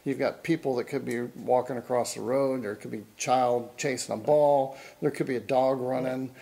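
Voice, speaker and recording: A man's voice: medium pitch, fairly even intonation, moderate pace, vocal fry, dark tone. A middle-aged man hosting a golf show, casually explaining putting with analogies and frequent restarts, in a small studio. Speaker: golf instructor and show host. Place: small studio set with a backdrop.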